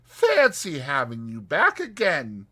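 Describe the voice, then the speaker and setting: A homely little storybook mole, voiced by a man, good-hearted and exclamatory. The voice sits raised and moves up and down in a very animated way. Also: on the high side, slow, exaggerated voice. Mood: delighted.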